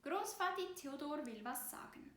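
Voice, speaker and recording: A woman voicing a helpful, bossy big sister mouse with a brisk, commanding manner in a children's story. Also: high voice, lively intonation, a bit bright, reverberant, voice pushed up.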